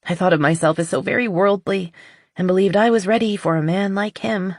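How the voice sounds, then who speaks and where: An audiobook narrator voicing a nervous, earnest middle-aged woman confessing, with a halting, shaky delivery. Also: mid-range voice, steady tone, no room sound, clean studio sound, pitched above the performer's own voice, close to an everyday voice. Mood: wistful.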